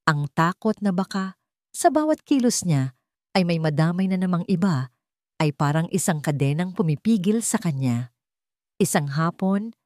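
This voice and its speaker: A Filipino woman narrates a dramatic audio story in a lowish, mellow voice. The delivery is scripted storytelling, recorded close and dry with no room around it. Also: dry recording.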